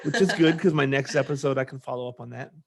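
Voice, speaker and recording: A man's voice: mid-range voice, fast talker, creaky, a loud crowd. A man hosting a podcast in casual, conversational chat, talking informally as an army sergeant. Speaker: podcast host.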